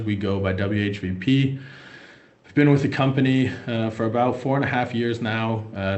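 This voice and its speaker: A man's voice: fairly low pitch, reverberant. A young American man presenting a finance webinar, hesitant with many fillers and restarts. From United States. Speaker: wealth manager presenting a webinar.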